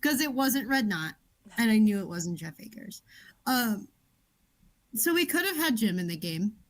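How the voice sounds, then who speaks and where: A woman with a Chicago accent talks casually, as a co-host on a book club show would. Her voice sits a little higher than most women's, and the delivery is conversational. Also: sing-song, normal speed. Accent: Chicago American. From Chicago area, United States. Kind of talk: casual chat.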